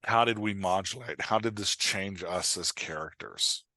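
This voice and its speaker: Middle-aged man, low voice, candid talker. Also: moderate pace, pushed and bright, no room sound, clean studio sound. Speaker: podcast guest, fiction writer and dialogue coach. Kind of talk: candid, conversational interview talk.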